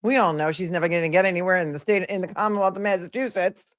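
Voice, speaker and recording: A woman's voice: fairly low pitch, fast. A woman hosting a live stream, commenting on a court hearing with sarcastic, indignant remarks and thanking viewers. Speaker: livestream host and commentator.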